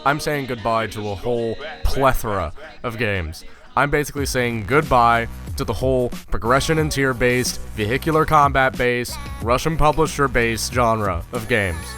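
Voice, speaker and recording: A man's voice: medium pitch, normal speed, bright, forceful tone, soft background music. A man giving casual, ranting commentary as a gaming YouTuber, blunt and profane, with stumbling repeats as he talks.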